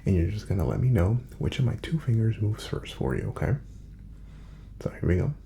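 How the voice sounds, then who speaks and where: A man with a low, heavily creaky voice speaks softly, often dropping to a breathy whisper. He plays a calm doctor in an ASMR exam, giving gentle, unhurried instructions with a lilting rise and fall, over faint background noise. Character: calm, courteous male doctor examining a patient. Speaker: ASMR roleplayer playing a doctor. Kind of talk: soft-spoken ASMR medical exam roleplay.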